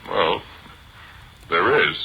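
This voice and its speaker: A man's voice: low-pitched, lively intonation, extremely slow, gravelly, husky, highs cut off, heavily acted. An actor playing an easygoing, plain-spoken oil-rig worker in folksy working-man speech, in 1940s radio drama style. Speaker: radio drama character and narrator. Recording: slightly noisy old 1940s radio recording.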